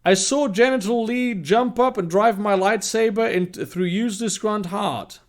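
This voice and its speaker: A man's voice: high-pitched, moderate pace, pitched above the performer's own voice, lightly acted. A man voicing an earnest heroine who narrates her battle in a theatrical, declamatory way. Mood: dramatic, earnest.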